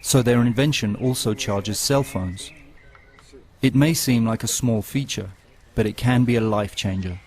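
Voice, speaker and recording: A man reading scripted documentary narration in a steady, even storytelling manner. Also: lowish voice, a little slow.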